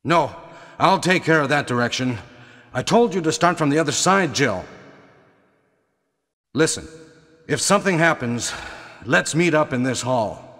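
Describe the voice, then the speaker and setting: A man's voice: fairly high pitch, lively intonation, unhurried, pauses often, fairly bright tone. A man playing a protective middle-aged police teammate in a horror video game, with stilted, emphatic phrasing. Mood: steady, instructive. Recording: reverberant, echoing hall sound.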